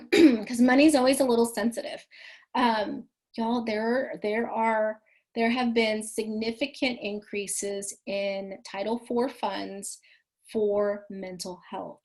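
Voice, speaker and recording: Chatty woman with slight rasp. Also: medium pitch. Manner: chatty, folksy, frequent ums and restarts. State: slightly raspy voice from training. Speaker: counselor trainer leading a webinar. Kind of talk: online training presentation.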